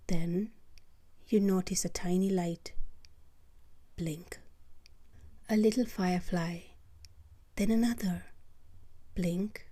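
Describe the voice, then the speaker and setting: A woman with a mellow, fairly low voice leads a children's bedtime meditation. She speaks very slowly and gently, often slipping into a breathy half-whisper. Short phrases are set apart by unhurried, soothing pauses. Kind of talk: guided sleep meditation, soft scripted narration.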